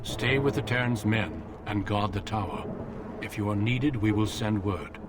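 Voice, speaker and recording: An actor playing a grave, dutiful older warrior mentor in a fantasy video game, measured and authoritative. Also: male voice, fairly low pitch, close to an everyday voice. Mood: grave, firm. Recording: clear background noise.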